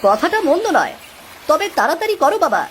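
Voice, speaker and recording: An actor voicing an eager, excited village child in a Bangla cartoon. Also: high-pitched, a little fast, a little hiss or hum.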